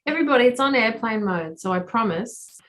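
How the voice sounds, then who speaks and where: A middle-aged Australian woman interviewing a guest, chatty and upbeat, with quick interjections. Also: a little high, lively intonation, fairly bright tone. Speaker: interviewer, festival artistic director. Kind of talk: casual interview chat.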